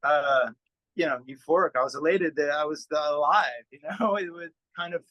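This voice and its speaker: Halting, bright-voiced man in casual conversation. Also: on the high side, no echo, muffled recording. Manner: halting, searching for words, trailing off with "you know". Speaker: musician being interviewed.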